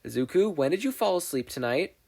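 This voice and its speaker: A man's voice: on the high side, big pitch swings, a little fast, creaky, a little mellow, husky, pitched above the performer's own voice, full character voice. A man voicing a worried, firm and caring mother who scolds with concern, in a story reading. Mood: concerned, scolding.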